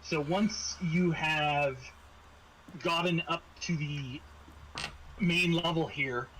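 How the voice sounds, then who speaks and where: Halting man running a tabletop game. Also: fairly high pitch, steady tone, fairly bright tone, slight background noise, rough recording. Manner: halting, repeats words and phrases. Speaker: game master of a tabletop horror RPG. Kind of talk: casual game narration and rules explaining.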